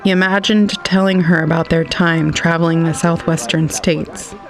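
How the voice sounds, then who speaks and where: A man's voice: a little high, steady tone, moderate pace, vocal fry. A man narrating a scripted story in the third person as the narrator of an audio drama. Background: faint music.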